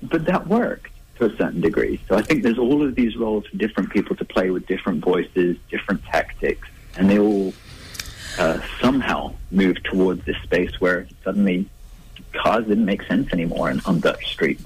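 A man's voice: deep voice, lively intonation, moderate pace, husky, rough recording. A man, an author, answering interview questions in a thoughtful, conversational way with frequent restarts. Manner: thoughtful, restarts, laughs lightly. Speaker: author guest.

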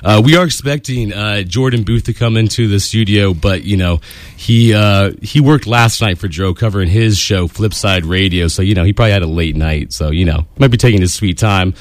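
A man with a slightly raspy voice hosting a casual sports radio show from a studio booth, rambling and upbeat with lots of fillers. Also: on the low side, a little fast, no echo. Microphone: studio mic.

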